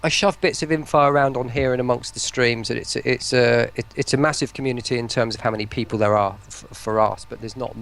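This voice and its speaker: A man's voice: medium pitch, steady tone, fast talker, vocal fry, hardly any pauses, fairly bright tone. A man talking casually and enthusiastically in an interview, rambling and often breaking into his own sentences. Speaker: voice pack producer, stream guest.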